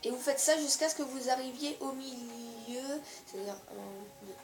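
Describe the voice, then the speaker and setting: A woman's voice: high-pitched, fairly even intonation, measured pace, roomy sound. A woman with a cold, giving hesitant step-by-step building instructions over gameplay. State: stuffy with a cold. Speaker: gaming video creator.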